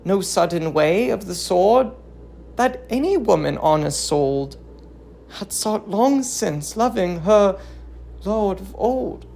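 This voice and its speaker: A woman's voice: medium pitch, expressive, takes it slowly, vocal fry, mellow, a little hiss or hum. A woman voicing an aged, grieving queen in a declamatory, impassioned and accusing reading of a tragedy. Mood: bitter scorn.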